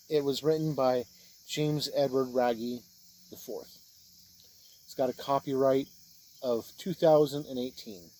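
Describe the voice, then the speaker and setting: A man giving an informal spoken review of role-playing books, plain-spoken and halting, speaking in short phrases. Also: mid-range voice, a little mellow, poor audio.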